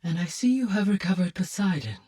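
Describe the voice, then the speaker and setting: A woman voicing a calm, courteous, motherly artificial intelligence in a video game, speaking softly with measured, precise, formal diction. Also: lowish voice, husky, distant mic. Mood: gentle, welcoming. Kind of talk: scripted video game voice acting.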